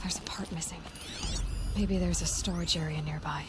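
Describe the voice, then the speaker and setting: A woman's voice: on the low side, steady tone, moderate pace, over music, close to an everyday voice. Searching young woman adventurer, musing aloud actress. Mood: quiet musing. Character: curious, determined young woman hunter exploring ancient ruins. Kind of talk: video game voice acting.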